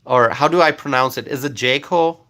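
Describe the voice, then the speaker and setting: Bright-voiced male live stream host. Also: medium pitch. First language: Bengali. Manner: friendly, thanks viewers by name, halting with fillers. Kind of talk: casual live stream chat reading comments.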